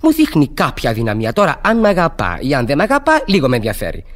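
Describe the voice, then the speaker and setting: An actor playing an excitable, insistent young student leader in a Greek radio theatre play. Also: male voice, fairly high pitch. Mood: infatuated, eager.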